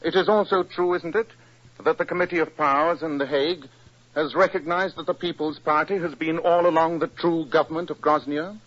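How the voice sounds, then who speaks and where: An actor playing an incisive, proud English detective with a British accent, precise and emphatic, in 1940s radio style. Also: male voice, a little high, steady tone, a little fast, fairly bright tone. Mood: triumphant, accusing. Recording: dull 1940s radio recording.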